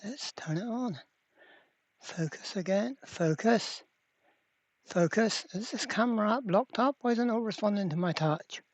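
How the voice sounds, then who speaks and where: Slow pace, casual repair narration, muttering to self, close dry sound, workbench. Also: medium pitch, dry recording. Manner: mutters to self, mild swearing. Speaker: electronics repairer presenting a workbench video. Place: indoor workbench.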